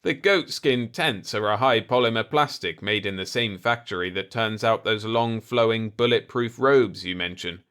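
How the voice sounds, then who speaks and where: A man voicing a shrewd, calm space diplomat with a dry, assured manner in a sci-fi audiobook. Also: on the low side, normal speed, few pauses, smooth, natural voice. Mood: firm, informative.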